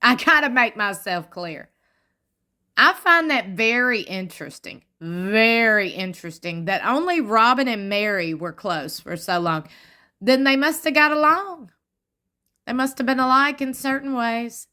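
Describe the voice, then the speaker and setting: A woman, commenting on a reality show, reading fan posts aloud and adding wry asides while sitting in a squeaky chair at home. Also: lively intonation, measured pace, pushed and bright. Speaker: commentary vlogger.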